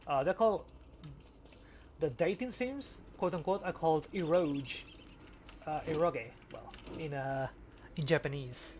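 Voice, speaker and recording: A man's voice: fairly high pitch, measured pace, long pauses, darkish tone. A man chatting casually as a podcast host, rambling and stammering with repeated words. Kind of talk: casual chat over gameplay. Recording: clearly noisy background.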